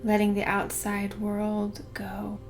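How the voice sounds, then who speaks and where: A woman guiding a meditation in a soothing, unhurried way, hushed in places. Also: medium pitch, completely flat delivery. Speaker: meditation guide. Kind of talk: guided meditation narration. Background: background music.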